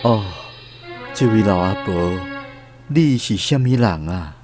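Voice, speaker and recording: A man's voice: fairly low pitch, lively intonation, takes it slowly, over music. An actor playing a weak, weary lost man searching for peace, earnest and pleading, in a Taiwanese radio drama. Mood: hopeful.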